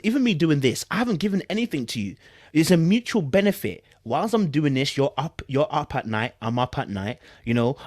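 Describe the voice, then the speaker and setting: A man's voice: medium pitch, dry recording, clean studio sound. A British man doing casual online commentary, reading aloud dramatically and repeating phrases for emphasis in a teasing, incredulous way.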